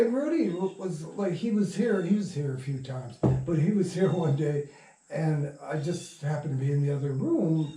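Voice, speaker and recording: An older man telling anecdotes in an interview, halting and often interrupting himself in informal, salty language. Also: a little high, mellow. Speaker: interviewee, radio drama producer. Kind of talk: rambling anecdotal storytelling in an interview. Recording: echoey, distant mic, faint noise.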